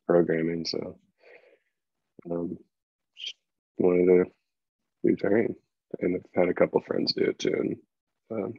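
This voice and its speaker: A young man from Colorado introducing himself on a video call from a small room at home, low-key and unhurried. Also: vocal fry, breathy edge, no room sound. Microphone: video call, laptop mic. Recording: dull lo-fi video call audio.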